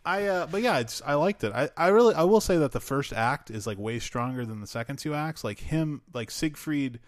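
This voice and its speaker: A man co-hosting a casual film chat, rambling excitedly with many fillers and laughs. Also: a little high, a little fast, no room sound, studio quality. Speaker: podcast co-host.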